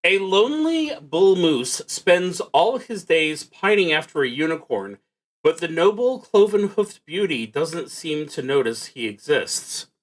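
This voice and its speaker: Slow-paced man thinking aloud. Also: a little high, big pitch swings, poor audio. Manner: halting, musing, pauses mid-sentence. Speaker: solo tabletop roleplaying streamer. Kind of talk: thinking aloud while playing, reading out game prompts.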